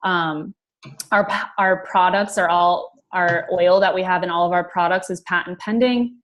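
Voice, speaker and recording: A woman giving an informal, enthusiastic sales pitch as a team leader on a conference call. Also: fairly even intonation, normal speed, a bit bright.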